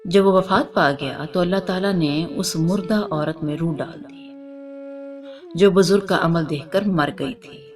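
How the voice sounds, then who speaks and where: A woman's voice: lowish voice, measured pace. A woman narrator reading a scripted religious moral story. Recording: music under the voice.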